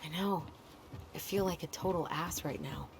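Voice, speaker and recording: Against clear background noise, a young American woman with a fairly low voice. She is a caring, wry sister who doubts herself, in naturalistic game cutscene style. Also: moderate pace. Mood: subdued, wry. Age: young adult.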